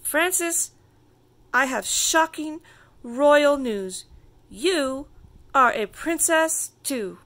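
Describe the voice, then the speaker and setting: A woman's voice: high voice, very slow, long pauses, fairly bright tone, voice pushed up, exaggerated voice. A woman voicing a bossy, dramatic little girl princess who makes grand declarations in a children's storybook. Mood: grand, urgent. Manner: grand, self-important declarations.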